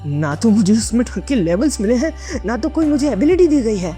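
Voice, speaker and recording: A man's voice: very high-pitched, lively intonation, a little fast, warm tone, soft background music, voice pushed up. A narrator playing a young male student trapped in a deadly game, anxious and frustrated, speaking emphatically in an audio drama. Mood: angry, frustrated.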